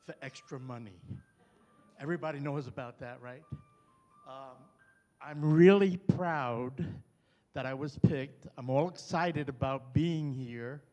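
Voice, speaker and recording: An older man with a Brooklyn accent, loud and chatty, introducing himself and his partner at a community meeting. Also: medium pitch. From New York. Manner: loud, chatty, self-deprecating. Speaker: new neighborhood representative. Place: chapel hall. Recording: reverberant hall.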